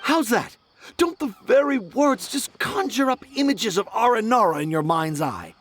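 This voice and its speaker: A man's voice: very high-pitched, big pitch swings, normal speed, fairly bright tone, slight background noise, full character voice. A man voicing a pompous male writer in a game, grandiloquent and theatrical. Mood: grandiose. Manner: grandiloquent, self-satisfied, theatrical. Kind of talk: game voice acting.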